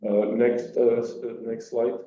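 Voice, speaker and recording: A man presenting company results on a conference call as an executive, with a halting, hesitant delivery. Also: mid-range voice, level tone, dark tone. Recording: muffled, very echoey, distant microphone.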